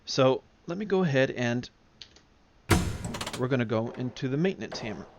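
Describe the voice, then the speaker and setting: Male tutorial presenter, informal, step-by-step, mid-pitched, faint noise behind. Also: animated, moderate pace, highs cut off. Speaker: demonstrator. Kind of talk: casual software tutorial. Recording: clear background noise of simulator ambience. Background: flight simulator background sounds.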